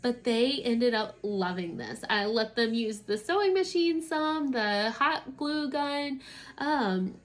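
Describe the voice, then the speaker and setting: A woman in her thirties with a fairly high voice speaks in a casual review vlog style. She is conversational and enthusiastic, like a mom sharing her experience with other parents. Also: expressive, takes it slowly. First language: English. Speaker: homeschooling mom reviewing a language curriculum.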